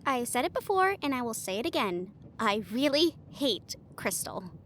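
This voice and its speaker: A woman's voice: on the high side, sing-song, normal speed, a little hiss or hum. A woman playing a blunt, protective young teammate in a fantasy audio roleplay, frank and sardonic in delivery.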